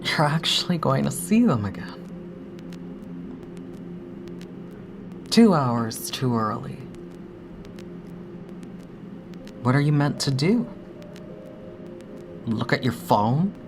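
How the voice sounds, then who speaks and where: A woman acting a grieving, haunted daughter in an audio drama monologue, speaking intimately and haltingly with hushed, breathy dips. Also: a bass voice, big pitch swings, noisy background, full character voice. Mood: anxious, waiting. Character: grieving adult daughter, anxious, haunted, longing for her dead father.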